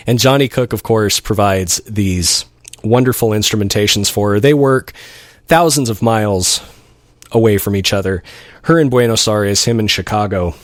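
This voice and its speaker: A man's voice: fairly low pitch, no room sound, studio quality. A man hosting a radio music show, introducing tracks in a casual, chatty, enthusiastic way.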